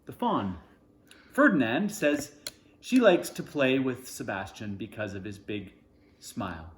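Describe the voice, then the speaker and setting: A man reads a children's storybook aloud in a medium-pitched voice with lively rises and falls. He phrases expressively, pausing between short phrases. The room adds a clear echo around his voice. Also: a little hiss or hum. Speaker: storybook reader. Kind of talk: children's storybook read-aloud with informal asides.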